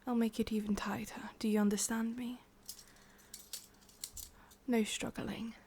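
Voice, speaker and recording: A woman's voice: fairly high pitch, little pitch movement, a little slow, dark tone, slight background noise. A woman with an English accent playing a possessive, sadistic ancient witch in a whispered ASMR roleplay, coaxing and controlling. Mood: coaxing, commanding.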